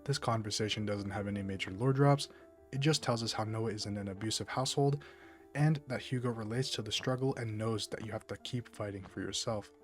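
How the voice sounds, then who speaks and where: A man's voice: on the low side, brisk. A man narrating a casual scripted video essay on a game, informal and wry, with profane asides. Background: music behind the voice.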